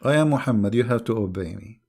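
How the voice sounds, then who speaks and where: A man's voice: on the low side, animated, fast, gravelly, darkish tone, breathy edge, exaggerated voice. A man voicing a boastful, illiterate man who demands obedience, in a sneering parody voice. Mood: mocking.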